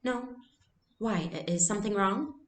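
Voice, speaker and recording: A woman's voice: mid-range voice, a little slow, roomy sound, pitched above the performer's own voice, close to an everyday voice. A woman reading a storybook aloud, voicing an earnest, curious and worried young girl. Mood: eager.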